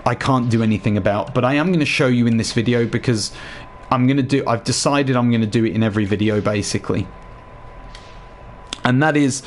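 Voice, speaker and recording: A man with a slightly hoarse voice chatting casually to viewers while walking them through a game showcase. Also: mid-range voice, slight background noise. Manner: chatty, addresses viewers directly. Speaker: gaming YouTuber. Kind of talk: casual game tutorial voice-over.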